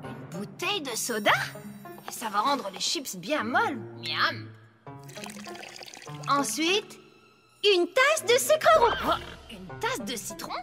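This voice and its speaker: A woman's voice: high-pitched, wildly swooping pitch, takes it slowly, pauses often, exaggerated voice. A woman voicing a stubborn, worn-out young female farm pony in a cartoon, muddled and rambling. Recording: cartoon music behind the voice.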